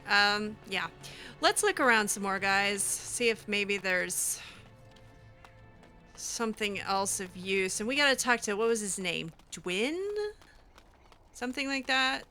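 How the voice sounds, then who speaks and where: A woman doing casual, in-character video game commentary, chatting and thinking out loud as she plays. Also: mid-range voice, expressive, slow, long pauses, soft background music. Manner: chatty, musing aloud. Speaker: gamer narrating a roleplay playthrough.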